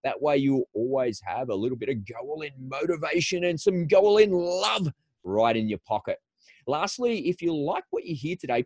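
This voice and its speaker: A man's voice: medium pitch, a little mellow, no room sound. A man hosting a podcast, giving an enthusiastic, promotional introduction and interviewing a guest. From Australia. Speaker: podcast host.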